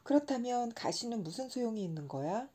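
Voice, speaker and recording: A woman's voice: a little high, very animated, brisk, mellow, voice pushed up. A woman voicing an earnest, stubborn little boy prince in a storybook reading, with insistent, pleading questions. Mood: curious.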